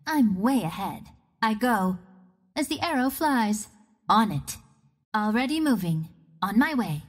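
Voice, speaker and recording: A woman's voice: a little high, slightly rough, full character voice. A woman voicing a cocky, playful young archer of the wind in a video game, with a confident, teasing manner. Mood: brisk. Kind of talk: video game character voice lines.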